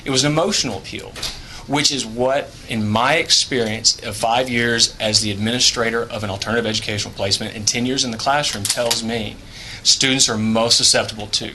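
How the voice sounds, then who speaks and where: A man's voice: mid-range voice, fairly even intonation, brisk, background noise. A man from Houston giving formal, polite testimony before a committee and defending his point under questioning. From Houston, Texas. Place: legislative hearing room.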